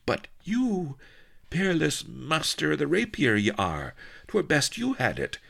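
A man's voice: a little high, huge pitch swings, measured pace, gravelly, fairly bright tone, pitched above the performer's own voice, heavily acted. A man voicing a passionate, lovesick pirate queen in a story, with theatrical, melodramatic archaic speech. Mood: alarmed, urgent.

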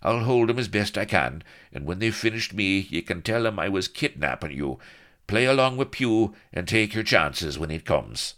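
A man's voice: on the low side, expressive, normal speed, fairly bright tone, pitched above the performer's own voice. A man voicing a roguish Irish male adventurer with an Irish brogue, rueful and defiant.

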